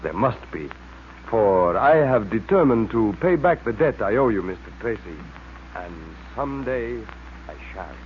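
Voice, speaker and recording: An actor playing a courteous, grateful Egyptian gentleman with a formal, halting way of speaking in a 1940s radio serial. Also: lowish voice, expressive, highs cut off. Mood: solemn, grateful. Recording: band-limited, faintly noisy old radio recording.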